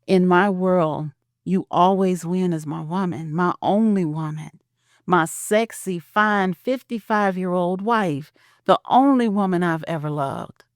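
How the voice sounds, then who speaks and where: A woman playing a devoted, romantic middle-aged husband in an audio drama, speaking softly and earnestly. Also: fairly low pitch, measured pace, no echo, clean studio sound, voice pushed up. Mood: adoring. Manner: soft, earnest, intimate.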